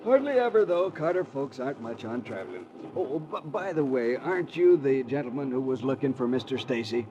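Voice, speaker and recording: An actor playing a folksy, obliging train conductor in an old radio drama, chatty, homely and polite. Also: male voice, mid-range voice, big pitch swings, fairly quick, hardly any pauses, mellow, lightly acted. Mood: genial, helpful. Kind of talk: 1940s-50s radio drama acting. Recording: dull old radio recording, faint noise.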